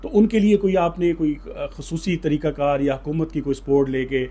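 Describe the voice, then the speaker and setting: A man's voice: on the high side, fairly even intonation, normal speed, warm tone. A man with a Pakistani accent hosting an informal interview, speaking in hesitant, wordy phrases full of filler words. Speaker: interviewer and host.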